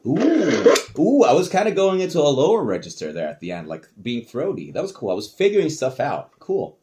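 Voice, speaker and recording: A man, speaking in a lively, swooping voice. He talks casually and curses freely, with plenty of self-mockery. The tone stays loose and unscripted. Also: medium pitch, brisk, very noisy. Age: adult. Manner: animated, profane, self-mocking. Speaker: host. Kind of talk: casual commentary reacting to old tapes.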